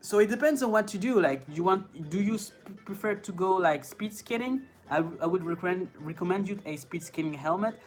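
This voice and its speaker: French guy on a phone stream, reads chat out loud, lots of ums and laughs, quiet music behind. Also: sits high, animated, fairly quick, few pauses. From France. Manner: reads chat aloud, hesitant with many fillers, laughs often. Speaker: inline skating streamer. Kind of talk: live stream answering chat questions. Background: faint background music.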